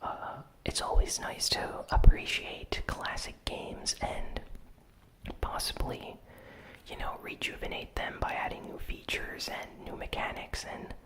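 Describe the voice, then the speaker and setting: A host speaking in a breathy, unvoiced whisper, softly recapping gaming news in a casual, measured ASMR style. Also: low-quality recording.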